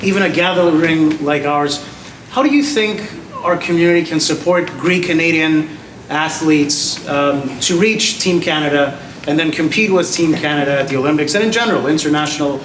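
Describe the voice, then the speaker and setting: A man hosting a gala interview, asking polite, measured questions. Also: on the high side. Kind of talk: formal onstage interview. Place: large gala hall. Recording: echoey hall, heard from far off, noisy.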